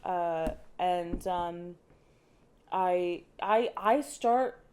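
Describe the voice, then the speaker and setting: A woman's voice: lowish voice, very slow, a little mellow, a little hiss or hum. A young woman, an opera director, talking casually in a podcast interview, thoughtful and often restarting her sentences with light laughs. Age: young adult.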